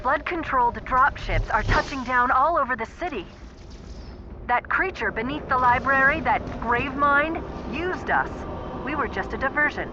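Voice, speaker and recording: Female AI companion, higher voice, resolute and matter-of-fact, urgent briefing style, slightly dull game audio. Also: expressive, moderate pace, noisy background. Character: resolute, brisk female artificial intelligence companion.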